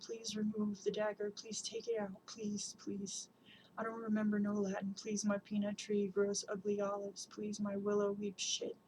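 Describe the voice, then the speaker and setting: A woman giving a dramatic spoken-word performance, chanting long repeated lists in an incantatory way and swinging between hushed whispers and loud cries. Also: medium pitch, gravelly, slight background noise, poor audio.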